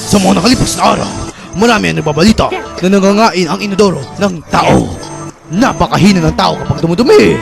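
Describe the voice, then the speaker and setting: A man playing a stern, preachy mentor to two angels, creaky and commanding, in a cartoon fan dub. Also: sits high, animated, fast talker. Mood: grave, explaining. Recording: fan dub with music behind. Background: music.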